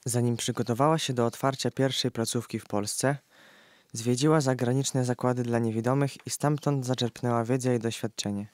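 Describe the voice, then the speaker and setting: A man's voice: mid-range voice, fairly even intonation, normal speed, darkish tone, no room sound. Man narrating, dry close sound. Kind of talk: scripted radio play narration.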